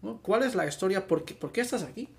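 A man from Spain, a podcast co-host, who asks the guest lively follow-up questions in casual conversation. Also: sits high, fast, vocal fry. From Barcelona.

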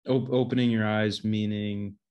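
A man's voice: fairly low pitch, steady tone, normal speed, a little mellow. A man interviewing a friend, asking meandering, rambling questions. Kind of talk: casual conversation.

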